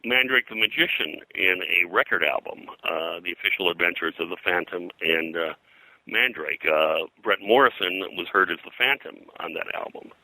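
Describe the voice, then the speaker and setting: An older man, a pop culture historian being interviewed, reminiscing in a halting, digressive way full of detail. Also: low voice, creaky, bright, forceful tone, no echo, poor audio. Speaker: pop culture historian and comics colorist, guest.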